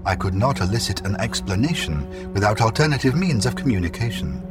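An actor playing a polite, emotionless android envoy in an audio drama, speaking in a formal, measured, impassive way. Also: male voice, on the low side, very animated, over loud music.

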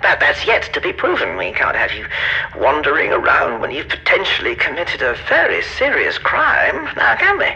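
A woman's voice: low voice, wildly swooping pitch, moderate pace, pushed and bright, highs cut off, faint music behind, full character voice. A woman playing a stern, suspicious security officer in an audio drama, formal and condescending. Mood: officious, suspicious.

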